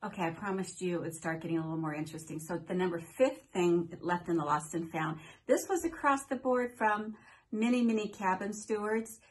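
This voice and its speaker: A woman's voice: lowish voice, fairly quick. An older woman hosting a home vlog, telling stories in a friendly way with playful asides, recorded at desk distance in a small room. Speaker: cruise vlogger host. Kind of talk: chatty countdown vlog.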